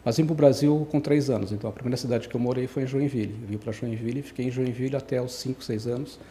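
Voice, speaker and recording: A man's voice: medium pitch, fairly even intonation, fast talker, mellow, a little hiss or hum. A man in his sixties, raised in Brazil, telling his life story as a podcast guest, rambling and correcting himself as he goes. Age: 60s. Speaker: guest engineer. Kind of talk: casual podcast interview.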